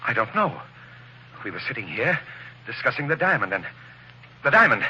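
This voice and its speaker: A man's voice: medium pitch, very animated, moderate pace, bright, forceful tone, breathy edge, full character voice. A man playing a wealthy, middle-aged father who is hearty but nervous and laughs it off, in a 1940s radio drama. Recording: dull, noisy old radio recording.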